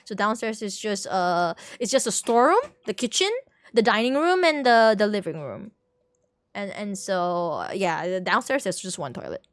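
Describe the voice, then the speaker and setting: A woman's voice: medium pitch, animated, normal speed, no room sound. A woman livestreamer explaining things casually to viewers in a rambling, playful way, repeating words and correcting herself as she goes. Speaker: livestream host.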